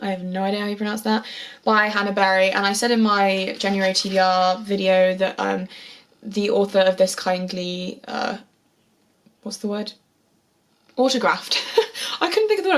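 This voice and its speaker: A young woman with a slightly hoarse, sore voice, chatting casually about books to camera as a vlogger, enthusiastic and repeating words for emphasis. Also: mid-range voice. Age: young adult. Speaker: book vlogger. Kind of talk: casual book chat to camera.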